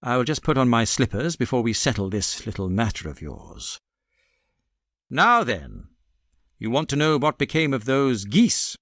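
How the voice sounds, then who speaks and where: A British man voicing a suave, confident gentleman detective in a storybook reading, with a smooth, assured manner. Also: medium pitch, very animated, unhurried, dry recording, clean studio sound. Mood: cheery, teasing.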